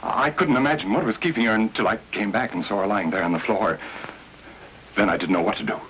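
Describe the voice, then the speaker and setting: An actor playing an anxious, earnest bridegroom who defends himself in an old-time radio drama. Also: male voice, lowish voice, quick pace, a bit bright, lightly acted, poor audio. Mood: earnest, defensive. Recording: hissy old radio recording.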